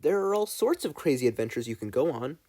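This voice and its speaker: A man's voice: mid-range voice, very animated, fast talker, warm tone. A man voicing a chirpy, helpful, adventurous young teenage girl, upbeat and open, in a story reading. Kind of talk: fan fiction audiobook character reading.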